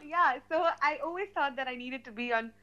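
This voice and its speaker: A woman, answering a radio interviewer's questions about her life. Her voice sits on the higher side, and she speaks in a chatty, loose way, with plenty of fillers and frequent laughter. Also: brisk, pushed and bright, lo-fi sound. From India. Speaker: guest, businesswoman. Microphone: phone line from India.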